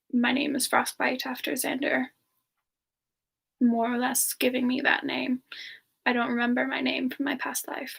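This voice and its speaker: High-voiced, playful demon siren played by a woman. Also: creaky, husky, heavily acted. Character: playful female demon siren Frostbite, affectionate and coy. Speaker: host answering audience questions in character. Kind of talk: semi-ASMR in-character Q&A.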